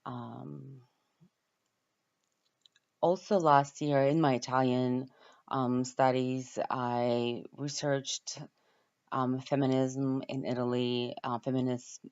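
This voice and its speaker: Ukrainian woman student intro, slow n halting, clear smooth voice, kinda dull lo-fi audio. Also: a bass voice, level tone, darkish tone. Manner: halting, pausing often to find words. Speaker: university student introducing herself. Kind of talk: informal self-introduction, partly from notes.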